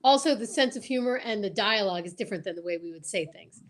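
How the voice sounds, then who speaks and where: Female livestream host, medium pitch, gushing and informal, unscripted chat. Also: lively intonation, fast. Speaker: show host.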